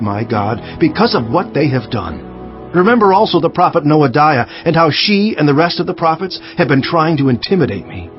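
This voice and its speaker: Earnest, animated ancient governor narrating. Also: male voice, on the high side. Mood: resolute. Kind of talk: dramatized scripture narration. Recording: dull sound with faint music underneath.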